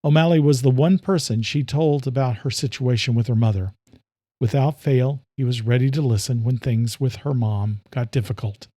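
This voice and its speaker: A man's voice: mid-range voice, dark tone, no echo. A man narrating scripted horror-thriller fiction as a storyteller, close on the mic.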